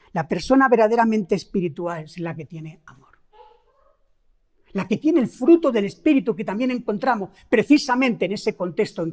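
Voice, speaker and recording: A woman's voice: mid-range voice, darkish tone. A middle-aged woman from Spain with a Spain Spanish accent, preaching a sermon, asking the congregation rhetorical questions and stressing key words.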